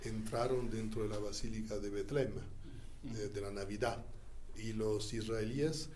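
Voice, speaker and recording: A man who learned Spanish as a second language recounts his years as a Church diplomat in an interview, speaking deliberately with frequent pauses. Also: lowish voice, steady tone, darkish tone, slight background noise. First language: not Spanish.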